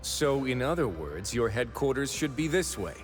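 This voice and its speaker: A man voicing a composed, authoritative prison warden in a dramatic video game, speaking in a measured, commanding way. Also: medium pitch, very animated, close to an everyday voice. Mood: composed, analytical. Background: game music.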